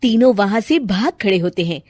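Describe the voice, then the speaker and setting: A woman's voice: medium pitch, expressive, normal speed, darkish tone, dry recording, clean studio sound. A woman giving scripted narration for a cartoon story as the storyteller.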